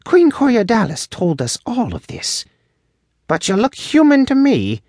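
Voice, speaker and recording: Tiny male elf, squeaky high voice, very animated pitch, proud, grudging, archaic phrasing, fantasy storybook, close dry sound. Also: measured pace, dry recording, heavily acted. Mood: suspicious, scornful. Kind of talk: audiobook character voice.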